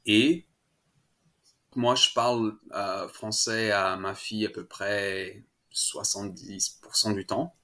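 A man's voice: lowish voice, steady tone, takes it slowly, sharp tone. A man from France, a father as interview guest, chatting casually with many fillers, restarts and laughs. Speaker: guest, French-speaking father.

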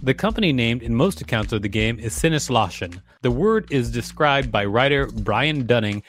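A man's voice: mid-range voice, lively intonation, normal speed, soft background music. Male podcast co-host reading and bantering. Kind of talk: article reading with casual banter.

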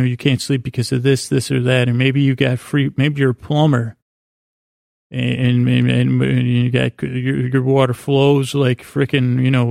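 A man hosting a podcast in a meandering bedtime monologue, halting and stammering as he doubles back on phrases, with a self-deprecating manner. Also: medium pitch, gravelly, darkish tone, no echo, clean studio sound. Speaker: podcast host.

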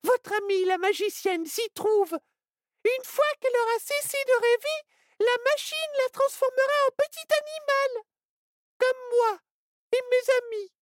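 A man voicing a tiny frantic squirrel in a storybook reading, with a squeaky, urgent voice. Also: unhurried, no echo, clean studio sound.

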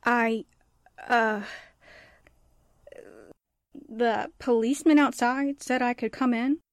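Woman playing a timid, higher-voiced young-sounding stenographer. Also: fairly even intonation, slow, no echo, studio quality. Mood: nervous. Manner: hesitant, polite. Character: timid, dutiful female office stenographer. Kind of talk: 1940s radio drama acting.

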